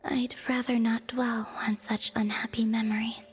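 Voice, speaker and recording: A woman playing a weary, melancholy young moon goddess in a fantasy video game, speaking in a soft, breathy near-whisper with solemn, measured phrasing. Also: a little high, little pitch movement, close to an everyday voice. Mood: quiet disillusionment. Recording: background music under the voice.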